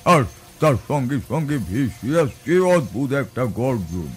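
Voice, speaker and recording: Tantrik priest storyteller male actor: grave, very slow, suspenseful horror audio drama, mid pitch, music underneath. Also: sing-song, slightly rough, full character voice. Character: grave village tantrik priest recounting eerie encounters.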